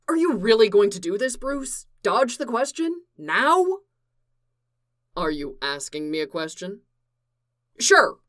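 A man's voice: fairly high pitch, sing-song, moderate pace, bright, forceful tone. A woman reading the part of an anxious, lovesick grown man who pleads with a rising voice. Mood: indignant.

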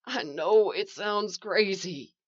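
A woman's voice: mid-range voice, big pitch swings, normal speed, pushed and bright. A woman voicing a frightened, trapped male caver in an audio story, with a shaky, strained, pleading delivery. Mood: shaken, pleading. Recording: echoey, slightly dull home recording.

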